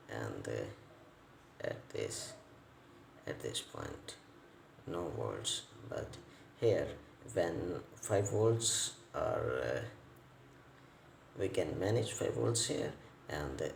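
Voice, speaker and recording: A man giving an unscripted hands-on repair tutorial as a technician, speaking non-native English in halting, piecemeal phrases and repeating words. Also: very deep voice, gravelly. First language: not English. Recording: roomy echo, faint background noise.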